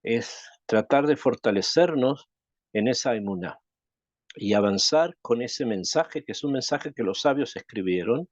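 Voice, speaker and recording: A man from Chile, a rabbi teaching a Torah class, reflective and thoughtful, asking rhetorical questions and pausing to think. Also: mid-range voice, animated, no echo, dull audio. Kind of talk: Torah lesson.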